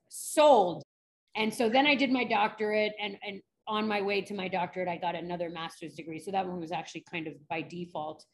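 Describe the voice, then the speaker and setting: Brief: woman in her thirties, guest psychologist, animated and candid, quick laughs. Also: mid-range voice. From New York. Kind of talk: casual interview chat.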